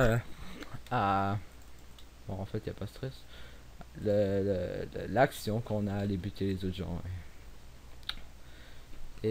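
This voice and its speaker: A man's voice: fairly low pitch, darkish tone. A young man with a Québécois French accent, commenting casually on his own gameplay, rambling with many fillers and restarts. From Quebec. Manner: rambling, many fillers and restarts, sometimes drops to near mumble. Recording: faint hiss.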